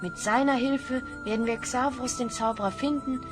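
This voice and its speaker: A woman's voice: fairly high pitch, steady tone, moderate pace, music in the background, natural voice. A woman playing an earnest, polite young hero on a quest in a children's fantasy radio play. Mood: resolute.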